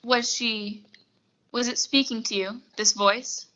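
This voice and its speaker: A woman's voice: fairly high pitch, steady tone, slow pace, bright, forceful tone, dull audio. A young woman playing a prim, formal, coolly deferential secretary in a 1940s-style suspense radio play. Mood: calm, placating. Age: young adult.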